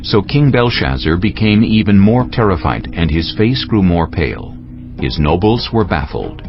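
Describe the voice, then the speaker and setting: Very deep, expressive male narrator. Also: moderate pace. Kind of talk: scripted dramatized scripture narration. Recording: dull lo-fi audio with faint music.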